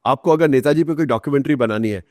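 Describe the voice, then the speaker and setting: Male podcast guest, Indian accent, mid-pitched, articulate explainer who repeats for emphasis. Dry recording. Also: fast, darkish tone, dry recording. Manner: articulate, emphatic repetition, Hindi mixed with English terms. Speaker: author guest. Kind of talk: podcast interview, explanatory talk.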